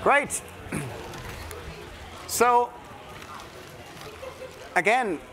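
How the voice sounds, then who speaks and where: A man's voice: sits high, fairly bright tone. A man from Germany with a German accent, speaking to a live audience and coaching them through a creativity exercise. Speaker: workshop speaker. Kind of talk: interactive keynote talk.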